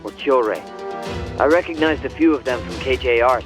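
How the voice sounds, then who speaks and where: Medium male pitch, young game informant, acted, polite, practical, easygoing, matter-of-fact, music behind. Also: brisk, lightly acted. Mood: helpful. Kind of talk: English video game dub acting. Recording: background music under the dialogue.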